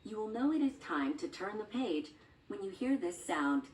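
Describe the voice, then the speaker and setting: A woman's voice: mid-range voice, sing-song, normal speed, a little mellow. A woman reading a scripted children's storybook as a read-along narrator, with music behind her. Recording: roomy, distant sound with music underneath.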